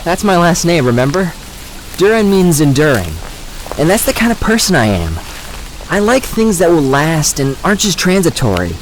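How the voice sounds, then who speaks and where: A man's voice: fairly high pitch, very animated, moderate pace, a little hiss or hum, low-quality recording. An actor playing a self-assured young man in an audio drama, teasing and articulate, earnest about loyalty.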